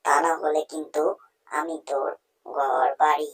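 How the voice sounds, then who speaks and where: A woman's voice: low-pitched, flat delivery, unhurried. A woman voicing a stern male village moneylender in a cartoon story, menacing and domineering. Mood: threatening. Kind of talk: Bengali cartoon story dubbing.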